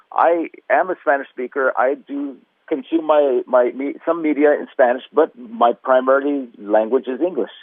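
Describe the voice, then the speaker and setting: A man, a museum's marketing director, answering radio interview questions in a halting way with many fillers and restarts. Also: medium pitch, no room sound. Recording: tinny phone line.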